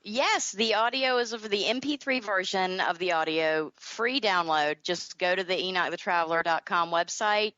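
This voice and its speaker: A woman's voice: medium pitch, normal speed, hardly any pauses, bright, forceful tone, dry recording, highs cut off. A middle-aged woman with a Southern American accent, answering interview questions conversationally as a guest author. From North Carolina, United States. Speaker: guest author and audio drama creator.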